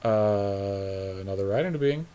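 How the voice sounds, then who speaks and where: A man with a fairly low voice talks through his choices in a card game. He sounds like a podcast co-host in casual conversation, reasoning out loud and swearing offhandedly. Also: expressive, unhurried, slight background noise. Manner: thinks aloud, casually profane. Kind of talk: casual chat while drafting a card game.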